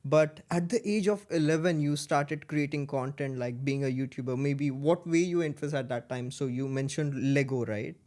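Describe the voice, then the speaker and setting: A man from India hosts a podcast interview. He asks his questions haltingly, in loose grammar. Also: on the high side, a little mellow. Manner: asks questions with hesitant, non-standard grammar. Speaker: podcast host. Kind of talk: casual interview conversation.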